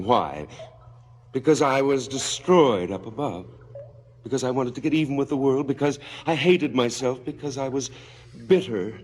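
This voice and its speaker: A man's voice: fairly low pitch, darkish tone, slight background noise. An actor playing a middle-aged, self-loathing father and fallen scientist in a stylized radio drama, brooding and theatrical.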